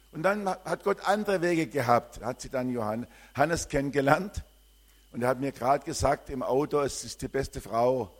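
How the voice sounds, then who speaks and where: An older man from southern Germany, a missionary, greeting a church congregation and giving a rambling personal testimony with frequent hesitations. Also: mid-range voice.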